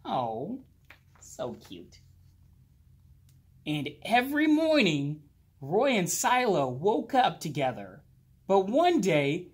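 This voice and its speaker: A man reading a children's picture book aloud expressively, drawing out words and pausing. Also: high voice, breathy edge. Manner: slow, animated, with drawn-out words and pauses. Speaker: children's storybook reader. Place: indoors, library with bookshelves.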